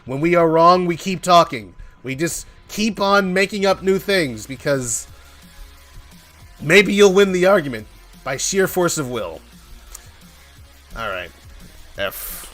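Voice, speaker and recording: Animated man rambling on a livestream. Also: on the high side, takes it slowly, pauses often. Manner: joking, playful, acts out little imagined exchanges. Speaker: indie game developer hosting a live stream. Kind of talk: casual rambling livestream chat. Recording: music under the voice. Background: background music.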